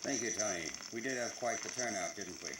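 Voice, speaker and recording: A genteel, fussy older gentleman who runs a museum , played by a male actor, speaking formally in the style of 1940s radio drama. His polite delivery sits in a very loud wash of sizzling noise. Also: fairly low pitch, a little fast, echoey room, lightly acted. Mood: genial, musing. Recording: very noisy recording with loud sizzling hiss.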